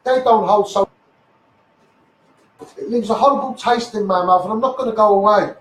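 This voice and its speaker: A man's voice: sits high, moderate pace. A man with a Scouse accent delivers an impassioned, blunt, sweary live rant as a campaigner, repeating phrases for emphasis. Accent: Scouse (Liverpool). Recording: echoey, noisy livestream, distant mic.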